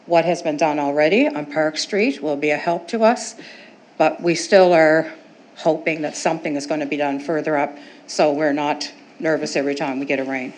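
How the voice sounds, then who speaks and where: A woman with a notably low voice speaks at a podium microphone in a council chamber. She talks chattily and gratefully, rambling with fillers, over faint room noise. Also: poor audio. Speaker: resident giving public comment. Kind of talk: public comment at council meeting.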